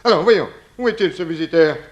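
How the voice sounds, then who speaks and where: A man acts out a pompous, flustered old-fashioned gentleman in a radio comedy. His very high voice rises and falls wildly as he stammers through mannered, doddering phrases. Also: lively intonation, a little fast, dull audio, close to an everyday voice. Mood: flustered, indignant. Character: fussy, doddering, pompous gentleman lodger, senior resident. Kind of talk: 1960s French radio detective comedy acting.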